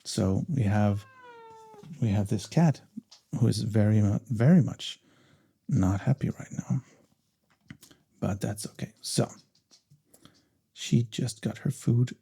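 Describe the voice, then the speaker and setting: Low, gravelly, soft-spoken man. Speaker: voice actor and ASMR creator. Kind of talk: soft-spoken behind-the-scenes commentary.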